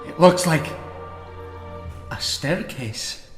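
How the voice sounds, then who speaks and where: A man's voice: on the high side, expressive, very slow. A man playing a cautious, blunt mage adventurer in a fantasy audio drama, with old-fashioned phrasing. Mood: alert. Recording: echoey reverberant hall with music behind.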